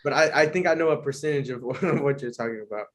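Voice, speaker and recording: A man's voice: medium pitch, fast. A young man hosting a casual interview, speaking informally and encouragingly, with plenty of filler words. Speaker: podcast host, interviewer.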